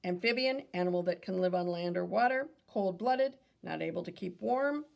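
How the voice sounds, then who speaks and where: A woman reading a children's nonfiction book aloud in a teacherly way, pausing for questions and small self-corrections. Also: lowish voice, animated, vocal fry, darkish tone. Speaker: read-aloud reader and teacher.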